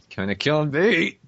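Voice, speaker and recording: A man's voice: fairly high pitch, vocal fry, a bit bright, dry recording. A man co-hosting a casual podcast with friends, with a wry and crude sense of humor. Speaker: podcast co-host. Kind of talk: casual group podcast chat. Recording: dry, dull lo-fi voice chat.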